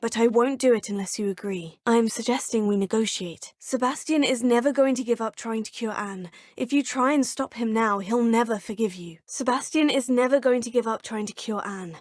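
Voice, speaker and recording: A young woman voicing a polite, composed female student witch in a video game, speaking in a measured, formal way. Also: fairly high pitch, darkish tone, no room sound, studio quality, close to an everyday voice. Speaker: player character.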